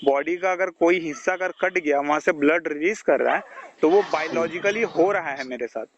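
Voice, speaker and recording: Indian man, fairly high voice, explanatory counselling, checks understanding, muffled call audio, faint noise. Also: normal speed. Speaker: health counsellor advising a caller. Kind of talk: informal phone counselling. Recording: dull, noisy phone call recording.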